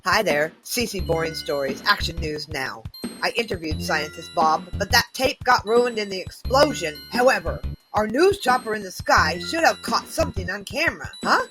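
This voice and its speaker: A woman's voice: mid-range voice, expressive, normal speed, over music, natural voice, poor audio. A woman playing a chatty, self-absorbed TV news reporter in a cartoon fandub. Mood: breezy.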